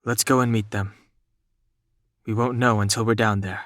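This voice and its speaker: A man voicing a calm, guarded young traveler in a video game, measured, sober and understated. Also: lowish voice, no room sound, clean studio sound, lightly acted. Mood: cautious. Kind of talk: restrained video game voice acting.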